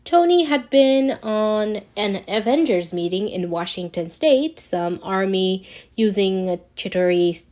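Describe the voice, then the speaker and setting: A woman narrates a written story at a slow pace in a mid-pitched voice. She reads as an amateur audiobook narrator would, now and then tripping on a word. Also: expressive, darkish tone. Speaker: amateur podfic reader. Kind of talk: scripted fan fiction narration read aloud.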